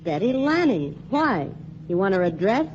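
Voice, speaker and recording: An actress playing a streetwise young nightclub singer in a 1940s radio drama, brassy and slangy. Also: female voice, mid-range voice, sing-song, moderate pace, darkish tone, close to an everyday voice. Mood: defensive. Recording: muffled 1940s radio broadcast with faint hiss.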